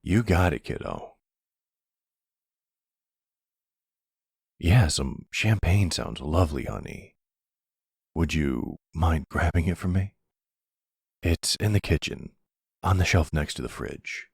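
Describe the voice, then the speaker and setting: A man playing an older, calm and commanding mafia boss with a low husky voice, speaking deliberately in an intimate roleplay audio drama. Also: no echo, clean studio sound, heavily acted. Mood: soft, wry. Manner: measured, deliberate, authoritative. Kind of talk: ASMR boyfriend roleplay acting.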